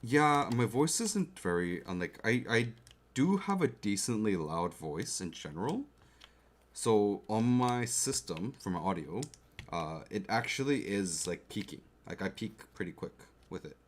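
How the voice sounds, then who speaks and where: Chatty man with a lowish voice. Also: lively intonation, a little slow. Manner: chatty, checks in with viewers often. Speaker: livestreamer building a keyboard. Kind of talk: casual livestream chat. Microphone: desk mic close to the keyboard. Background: faint keyboard clicks and typing.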